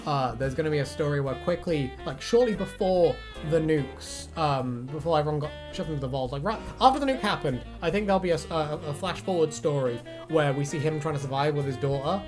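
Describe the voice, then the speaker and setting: A man's voice: fairly high pitch, a little fast, few pauses, over music. A man hosting a casual fan discussion, chatting in a rambling, sweary way. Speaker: video host.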